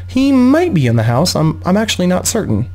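A man voicing a gracious, composed noblewoman mother with a measured, genteel manner in a fantasy audiobook. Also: high-pitched, wildly swooping pitch, darkish tone, soft background music, voice pushed up, full character voice.

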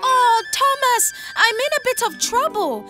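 A woman voicing a warm, friendly, earnest young female steam engine in a children's cartoon. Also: extremely high pitch, a little slow, faint music behind, heavily acted. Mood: worried. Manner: expressive, earnest.

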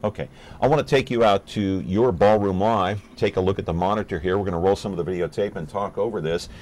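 A man's voice: low voice, steady tone, quick pace, a little mellow. A man in his fifties hosting a local TV talk show, chatty and upbeat as he interviews a guest in the studio.

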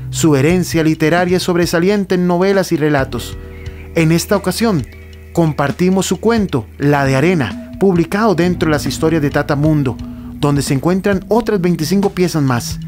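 A man presenting scripted radio narration in formal, measured phrases. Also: on the high side, darkish tone. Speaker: history specialist, presenter. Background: plucked guitar music.

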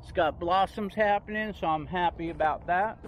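A man's voice: high-pitched, a little hiss or hum. A man giving a casual, rambling tour of his backyard garden, recorded outdoors on a handheld phone. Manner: rambling, unhurried, points things out as he walks.

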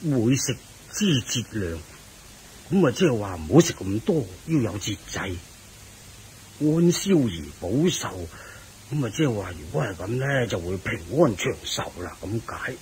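A male actor as a fat, hearty king who lives to indulge. He speaks with a Hong Kong Cantonese accent and swoops about expressively in radio-drama style, over clear background noise. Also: medium pitch, big pitch swings, moderate pace, darkish tone, lightly acted, poor audio. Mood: pleased, resolved. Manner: exclaiming, chuckling, expansive.

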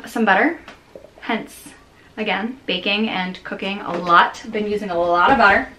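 A woman's voice: fairly high pitch, pushed and bright, a little hiss or hum. Chatty woman vlogging in her kitchen. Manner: chatty, rambling with many restarts and fillers. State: tired. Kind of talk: casual grocery haul chat. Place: home kitchen, indoors. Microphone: camera on a counter at close range.

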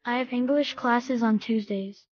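A narrator voicing a young schoolboy who gives short, clear answers about school. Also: medium pitch, fairly even intonation, unhurried, voice pushed up, natural voice. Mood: plain. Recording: dry, slightly dull lo-fi.

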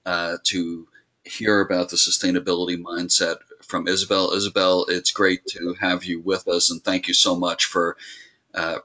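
A man hosting an online webinar, giving a formal, deliberate introduction with some fillers. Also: deep voice, pushed and bright, low-quality recording. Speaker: webinar host.

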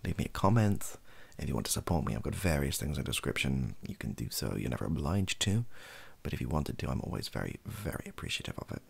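A British man speaks softly in a very low, husky voice full of heavy vocal fry. His pitch moves around freely as he rambles and hesitates. The delivery is an improvised, self-deprecating ASMR chat. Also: big pitch swings, quick pace, hardly any pauses. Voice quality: soft, gravelly, husky. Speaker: video host, ASMR creator. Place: small bedroom office, indoors.

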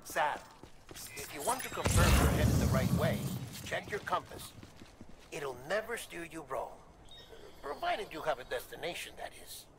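A man's voice: a little high, big pitch swings, moderate pace. An actor playing a composed, earnest government official in a video game, persuasive and solemn in his delivery. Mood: instructive. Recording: clear background noise and ambience.

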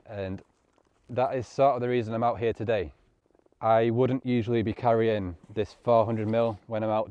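A man's voice: on the low side, dark tone, no room sound, dull audio. A man, giving casual, matter-of-fact tips to camera outdoors. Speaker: landscape photographer presenting hiking tips. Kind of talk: casual instructional vlog talk to camera. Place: open grassy hillside outdoors.

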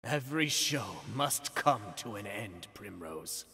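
A menacing puppet master from a video game, savoring every word with stately slowness. His voice has a sharp edge and a gravelly creak, and it speaks like poetry declaimed on a stage. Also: lowish voice, animated, full character voice. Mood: solemn, theatrical. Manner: grandiose, slow, savoring delivery. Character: theatrical, poetic, menacing male puppet master villain. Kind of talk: theatrical game voice acting. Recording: long reverb, music behind.

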